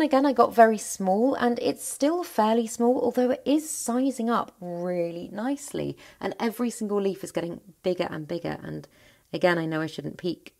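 A woman giving a chatty, enthusiastic tour of her plant collection at home, her voice a little croaky. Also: mid-range voice, darkish tone. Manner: chatty, enthusiastic, rambling with restarts. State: voice slightly croaky. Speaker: plant vlogger. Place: indoor plant cabinet at home.

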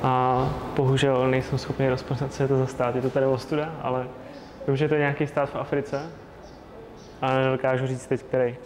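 A man casually narrating a walking vlog at a big exhibition, relaxed and rambling with fillers. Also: medium pitch, background noise. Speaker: travel vlogger. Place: large exhibition grounds. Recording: loud music behind.

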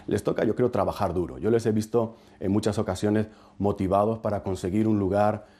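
Calm, mellow middle-aged man. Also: on the low side, a little fast. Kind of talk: interview comment.

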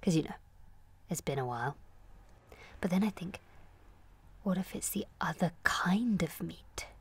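A woman's voice: fairly low pitch, unhurried, slight background noise. Candid, wry woman in her late twenties. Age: late 20s. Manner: candid, wry, self-questioning, shifts between confiding and animated. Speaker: monologue performer. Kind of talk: confessional comic audio monologue, present-tense narration.

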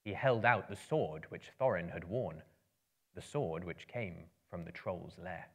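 A man in his thirties giving a dramatic book reading, with theatrical, measured, emphatic phrasing. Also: low-pitched, a bit bright, breathy edge. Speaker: storyteller. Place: room lined with books, indoors.

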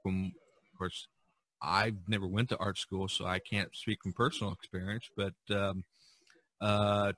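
A man hosting a podcast, talking casually about art in a halting, rambling way. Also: lowish voice, level tone, a bit bright, no room sound. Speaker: podcast host.

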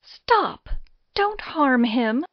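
Gothic radio-play wife, female actor: gentle, patient, earnest, high-voiced and swooping, on a slightly muffled recording. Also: big pitch swings, extremely slow, dry recording, full character voice. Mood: pleading. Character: patient, gentle young wife pleading for her cat. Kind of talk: Gothic audio drama acting.

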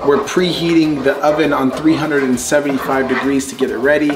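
A man's voice: medium pitch, fairly even intonation, a little fast, over music, poor audio. A man in his thirties co-hosting a home cooking show, talking in an upbeat, easygoing, jokey way while seasoning, on a lapel mic in a home kitchen. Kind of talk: casual cooking show chat.

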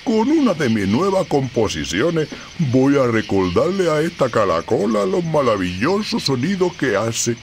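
A man's voice: medium pitch, big pitch swings, unhurried, faint music behind. A man playing a fussy, proud crab composer in a video game, speaking in a theatrical, grandiose way. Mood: proud, elated. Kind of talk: video game character acting.

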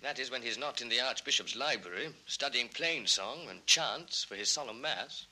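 A man's voice: medium pitch, expressive, normal speed, bright, forceful tone, rough recording. A man playing a genial, admiring musician in a vintage children's record drama. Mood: admiring, amused. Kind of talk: 1960s children's record radio-play acting.

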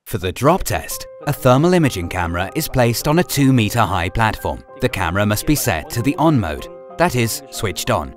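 A man narrates a training film from a script in a low-set voice. His delivery is measured and instructional, with animated intonation. Music plays clearly behind him.

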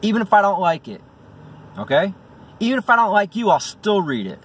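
Animated, blunt man vlogging in a car. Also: high voice, fairly quick, breathy edge. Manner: blunt, profane. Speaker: amateur comedian vlogging. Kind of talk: casual vlog with stand-up bits. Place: inside a parked vehicle. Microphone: phone or camera at arm's length. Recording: in-car, distant mic, faint noise.

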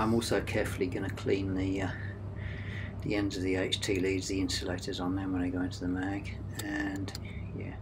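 A man giving thoughtful, unscripted technical commentary as he works through a repair step by step, recorded on a hand-held device with some noise. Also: low voice. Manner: thoughtful, explaining step by step while working. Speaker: aircraft maintenance engineer presenting a repair video.

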